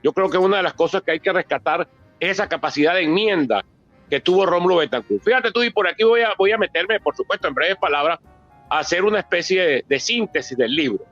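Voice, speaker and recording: A Venezuelan man in his sixties speaks in a high register, presenting his book with many asides and repetitions. The sound is dry and somewhat dull, with faint music behind him. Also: fast, a bit bright, dry recording. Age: 60s. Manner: discursive, with frequent asides and repeated words. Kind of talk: public book presentation speech.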